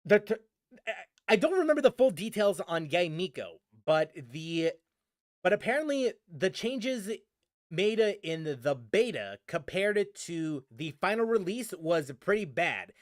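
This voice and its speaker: A man's voice: high voice, sing-song, a little slow, no room sound, clean studio sound. A man streaming a game and ranting casually, rambling with many restarts and fillers. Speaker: gaming streamer.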